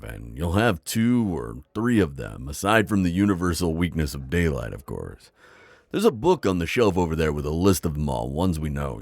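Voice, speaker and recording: A man playing a kindly, wise vampire innkeeper in an intimate ASMR roleplay, deep-voiced, with a folksy, chatty and slightly hesitant manner. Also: a little mellow, no room sound, clean studio sound, heavily acted. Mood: instructive, cautioning. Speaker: audio roleplay performer.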